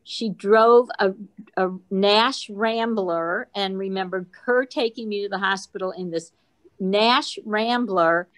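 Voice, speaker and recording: A woman's voice: medium pitch, takes it slowly. An older woman casually telling a personal memory on a group video call.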